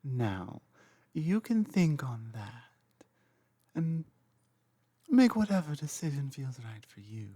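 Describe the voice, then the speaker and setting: An ancient dragon queen, played by a woman, speaks slowly and deliberately in a warm, mellow voice. Her phrasing is formal and commanding, and at times it softens into a whisper. The ASMR recording is dry and close. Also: rumbling low, very animated, slightly rough, no echo, exaggerated voice. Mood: calm, confiding. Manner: slow, measured, formal speech with soft whispered moments. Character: ancient regal female dragon queen, calm and dominant. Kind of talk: ASMR roleplay audio.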